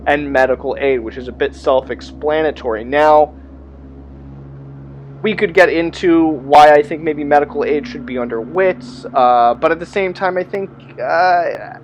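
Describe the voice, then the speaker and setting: A man explaining a tabletop roleplaying game as its game master, stressing key words and pausing between phrases. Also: on the high side, a little hiss or hum. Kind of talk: explanatory talk on tabletop game characters and rules.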